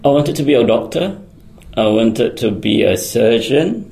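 A man's voice: mid-range voice, expressive, a little slow, gravelly, mellow. A man, a guest answering interview questions with many ums, restarts and frequent laughs. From Singapore. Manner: hesitant, many fillers and restarts; laughs often. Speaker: guest, electronics engineer. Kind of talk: conversational radio interview.

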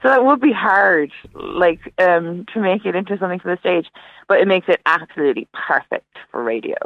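A woman talks as a guest on a radio show, chatty and enthusiastic. Her voice has a gravelly fry to it. The line is a muffled, dry phone connection. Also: on the low side, lively intonation, fairly quick, no echo. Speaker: radio interview guest, Irish studies academic. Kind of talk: casual radio interview chat. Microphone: phone call.

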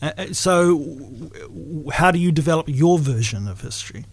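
A man interviewing a guest, asking his questions in a halting, hesitant way. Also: on the high side, expressive, slightly rough, no room sound.

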